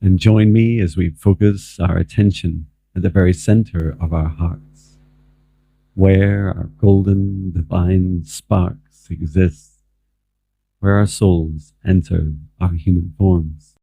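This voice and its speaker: Male meditation guide: very deep voice, measured and calm, leaving long pauses, recorded very dry. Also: creaky, slightly rough, no echo. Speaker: spiritual mentor leading a guided meditation. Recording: muffled, phone-like narrow band, dry.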